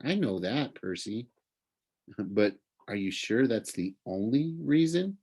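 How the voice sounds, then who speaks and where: Man reading as a gentle, earnest young satyr. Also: on the low side, very animated, slow pace, husky, heavily acted. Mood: gently probing. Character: gentle, modest, loyal young male satyr friend.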